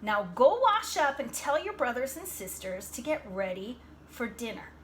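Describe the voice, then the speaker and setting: Woman reading as a firm, loving storybook mother, high-pitched. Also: very animated, normal speed, slight background noise. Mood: gently firm. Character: wise, loving mother, firm but good-humored.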